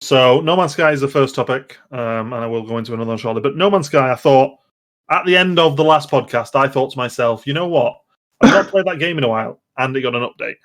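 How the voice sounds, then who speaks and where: A man's voice: mid-range voice, fast talker, a bit bright. A man hosting a casual gaming podcast, chatty and digressive, often interrupting himself as he goes. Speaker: podcast host.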